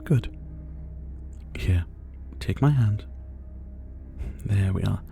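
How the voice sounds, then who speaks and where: A man's voice: lowish voice, animated, drawn-out words, vocal fry, warm tone, soft background music, full character voice. A man acting a flirtatious, possessive dictator in an ASMR roleplay, with a theatrical, teasing, menacing delivery. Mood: seductive, teasing.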